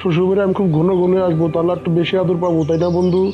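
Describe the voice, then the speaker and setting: A man's voice: sits high, flat delivery, very fast, lightly acted. A man voicing a greedy, self-satisfied newlywed crow who coaxes and schemes in a cartoon fable. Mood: greedy, pleased. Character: greedy newlywed crow, male, pleased and sly. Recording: muffled lo-fi audio with faint music.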